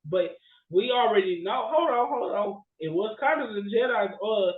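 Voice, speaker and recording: High pitched guy on a podcast, slangy and excitable chat, kinda muffled lo-fi audio. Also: normal speed. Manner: animated, slangy, exclamatory. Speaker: podcast co-host. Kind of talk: casual chat, storytelling and ranting.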